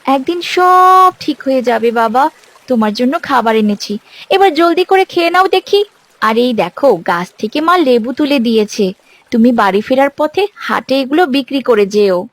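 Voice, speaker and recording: High-pitched, gentle young girl voiced by a woman. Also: lively intonation, moderate pace, no room sound. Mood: consoling. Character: caring, wise young daughter of a poor fisherman. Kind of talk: Bengali cartoon dialogue.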